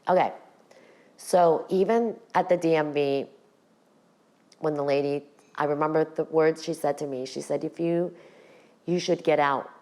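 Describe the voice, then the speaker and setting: A middle-aged woman with a raspy voice, hosting her own podcast and talking frankly to her viewers about her past. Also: low voice, steady tone, unhurried, pauses often, darkish tone. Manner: candid, direct address to viewers. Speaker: podcast host. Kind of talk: personal storytelling to camera.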